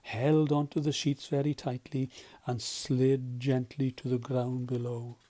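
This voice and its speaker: Male storyteller for children's book narration. Mellow, mid-range, expressive read. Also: medium pitch, a little slow. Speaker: volunteer storyteller.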